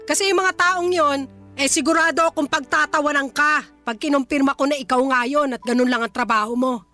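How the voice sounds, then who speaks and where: A stern Filipino mother in a radio drama. Her voice is very high and sharp, scolding her grown son with exasperation and resentment. Faint music runs underneath. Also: fairly even intonation, fairly quick. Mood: defensive, bitter.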